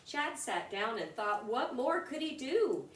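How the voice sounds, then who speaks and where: A woman reading a rhyming children's picture book aloud in an expressive, deliberate way for young listeners. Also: fairly high pitch. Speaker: storybook reader. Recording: roomy, distant mic with background noise.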